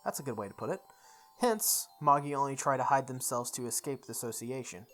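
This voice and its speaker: A let's play host reading a visual novel's story aloud in a deliberate way, with occasional stumbles. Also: mid-range voice, expressive. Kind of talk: read-aloud narration of a game's story. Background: background music.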